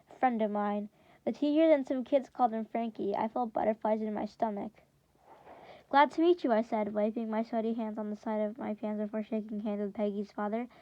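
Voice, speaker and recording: A woman's voice: fairly high pitch, dry recording, dull audio. A woman reading a first-person story aloud in the manner of a classroom read-aloud, now and then stumbling and repeating words. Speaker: reader reading a book chapter aloud for students.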